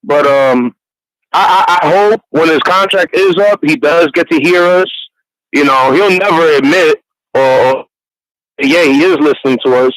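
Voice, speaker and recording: A man's voice: on the high side, normal speed, a bit bright, no room sound, poor audio. A man in his twenties from New York City, calling in to chat casually and rambling with many restarts. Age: 20s. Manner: rambling, restarts often, laughs easily. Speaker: caller. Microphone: phone call.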